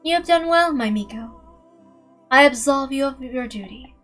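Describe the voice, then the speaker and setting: A woman's voice: high voice, moderate pace, soft background music. Woman voicing a languid, ancient, regal witch. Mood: drowsy, indulgent. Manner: soft-spoken, regal, indulgent. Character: ancient, languid, contented female witch of theatergoing.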